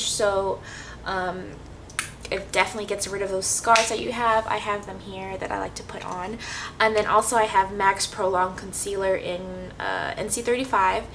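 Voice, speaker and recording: A woman vlogger chatting casually through her makeup routine, sniffly with a cold and full of ums. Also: medium pitch. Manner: chatty, many ums and restarts. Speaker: beauty vlogger. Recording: slightly distant mic, faint hiss.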